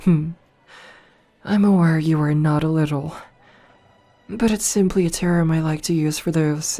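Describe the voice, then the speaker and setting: Woman playing a low, slow vampire princess. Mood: haughty teasing. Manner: teasing, condescending, softly purring. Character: haughty seductive noble female vampire princess. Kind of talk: ASMR audio roleplay.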